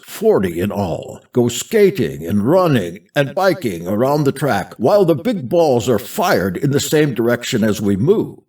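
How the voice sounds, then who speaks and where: Man reading as swaggering bloodsport champion, expressive and dramatic. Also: medium pitch, far from the mic. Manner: swaggering, dramatic first-person storytelling. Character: tough, boastful male star of a brutal future bloodsport. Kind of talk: dramatic short story reading.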